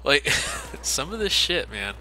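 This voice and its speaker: A man's voice: medium pitch, big pitch swings, slow, bright, forceful tone, slight background noise, rough recording. A man giving casual, joking, sarcastic commentary as he plays a video game, swearing freely. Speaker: Let's Play commentator playing the game. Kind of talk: casual gameplay commentary with profanity. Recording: game gunfire behind the voice. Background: video game gunfire and ambience.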